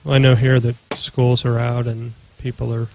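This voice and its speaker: A man's voice: mid-range voice, little pitch movement, normal speed, vocal fry, a little mellow, slight background noise, low-quality recording. A man with a Midwestern American accent chatting casually as a podcast co-host in brief, easygoing replies. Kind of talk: casual chat.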